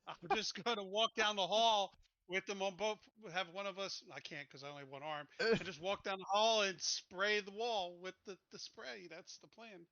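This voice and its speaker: A man talks casually as a player at a roleplaying game. His voice sits a little high and has a sharp, forward edge. The recording is very dry and a touch dull. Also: steady tone, moderate pace, dry recording. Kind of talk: casual game-table chat.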